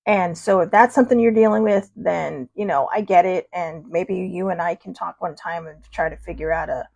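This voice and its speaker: A woman's voice: fairly low pitch, moderate pace, gravelly, darkish tone. A woman in her fifties hosting a casual livestream, chatty and rambling, with lots of fillers and restarts as she answers viewers. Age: 50s. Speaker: host.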